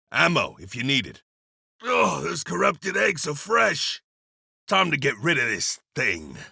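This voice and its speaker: An actor playing a seasoned, courteous frontier gunslinger in a video game, with a gravelly, raspy voice and a folksy drawling manner. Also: male voice, high-pitched, pushed and bright, dry recording, clean studio sound, exaggerated voice. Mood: grimly pleased. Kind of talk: video game character voice lines.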